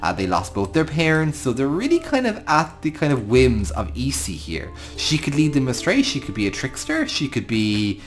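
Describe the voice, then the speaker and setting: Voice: mid-range voice, wildly swooping pitch, moderate pace, hardly any pauses, faint music behind. An Irish gaming host giving improvised storytelling commentary over a game, talking affectionately to the creatures. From Ireland.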